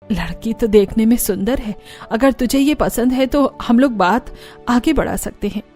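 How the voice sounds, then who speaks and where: A woman's voice: fairly high pitch, moderate pace, darkish tone, soft background music, lightly acted. A woman voicing a bossy middle-aged mother-in-law in a cartoon family story, with a stern, commanding manner. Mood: indulgent. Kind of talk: animated family story dialogue.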